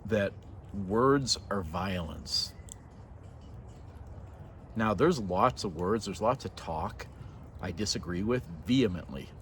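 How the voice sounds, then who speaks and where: A man's voice: on the low side, expressive, slow, pauses often. An older man from Norway, raised partly in Canada, speaking casually and reflectively in a hesitant, sincere, unscripted way. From born in Norway, moved to Canada as a child. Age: 50s to 60s. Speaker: vlogger. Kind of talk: casual reflective monologue to camera. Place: outdoors by a fire in a yard at night. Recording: noisy outdoor ambience.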